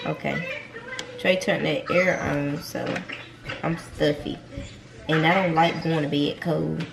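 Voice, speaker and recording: Gravelly Southern mom vlogging at home. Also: female voice, low voice, steady tone, slow, noisy background. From North Carolina. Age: young adult. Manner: rambling, repeats herself, talks to viewers as y'all. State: stuffy nose. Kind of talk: casual vlog chat. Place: home kitchen.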